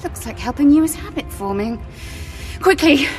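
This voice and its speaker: A woman's voice: high voice, sing-song, normal speed, a little mellow, faint music behind. An actress playing an aggressive, defiant woman back from the dead, intense and dramatic, in an audio drama. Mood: urgent. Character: resurrected woman, aggressive and defiant, newly filled with dark power.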